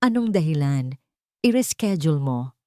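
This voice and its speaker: Woman acting arrogant tycoon, animated and scornful. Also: sits low, moderate pace, no room sound, clean studio sound. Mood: annoyed. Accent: Filipino. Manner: scornful, emphatic. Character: arrogant rich businessman, dismissive, bullying, short-tempered.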